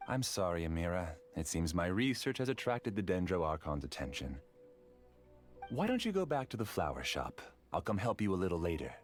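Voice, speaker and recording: Casting: a deep-voiced, courteous, obsessive scholar; lively, varied delivery; video game. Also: male voice, soft background music. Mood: calm confession. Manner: courteous, measured, quietly intense. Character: obsessive scholarly researcher, polite and calculating. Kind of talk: game cutscene dialogue.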